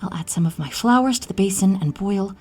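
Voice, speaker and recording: A woman playing a centuries-old moon spirit healer in a fantasy audio roleplay, motherly and brisk, with an expressive, hushed delivery. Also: medium pitch, slightly rough, soft background music. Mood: urgent, scolding.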